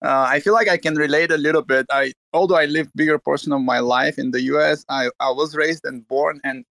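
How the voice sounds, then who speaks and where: A man's voice: fairly high pitch, steady tone, brisk, gravelly, a bit bright, no echo. A man from Bulgaria, earnest and full of restarts, talking in a casual online chat.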